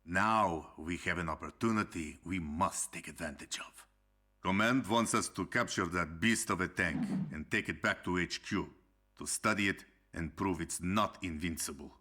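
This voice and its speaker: An actor playing a stern Soviet army commander in a war game, giving a dramatic briefing. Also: male voice, sits low, moderate pace, sharp tone. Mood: resolute.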